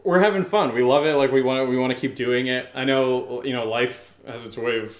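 Male co-host, medium pitch, echoey room sound, casual podcast chat with loose, hesitant phrasing. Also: fairly quick.

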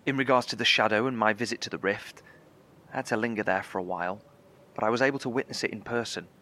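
Male, low and bright. Articulate scientist survivor giving a careful broadcast in a post-catastrophe audio drama. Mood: measured, concerned. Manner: measured, articulate, explanatory. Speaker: narrator of a horror audio drama. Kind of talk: in-character scripted radio broadcast monologue.

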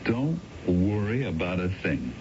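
A man's voice: sits low, sing-song, measured pace. An actor playing a sly, cocky schemer in a radio drama, evasive and wisecracking as he coaches his accomplice. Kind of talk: 1970s radio drama acting. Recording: dull, noisy old radio recording.

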